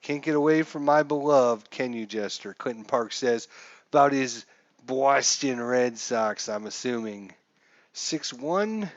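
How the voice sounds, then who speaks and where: Slow-paced man calling a baseball game. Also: medium pitch, no echo, muffled recording. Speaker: live streamer, host. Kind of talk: baseball game play-by-play commentary.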